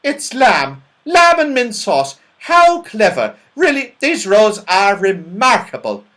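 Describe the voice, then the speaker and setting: An excitable, silly storybook fellow, acted out by a man, pitched high and swooping all over. He exclaims everything loudly, in a scatterbrained way. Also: slow pace, exaggerated voice. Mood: excited.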